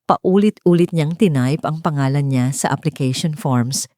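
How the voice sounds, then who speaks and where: A woman narrating a scripted dramatic story in a measured, solemn and sentimental way. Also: deep voice, warm tone, no echo, studio quality.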